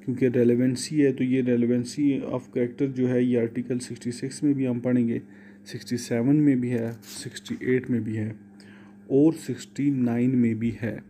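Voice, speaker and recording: A man with a Pakistani accent lecturing on law, reading legal text and explaining it deliberately, switching between two languages. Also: mid-range voice, flat delivery, mellow, a little hiss or hum.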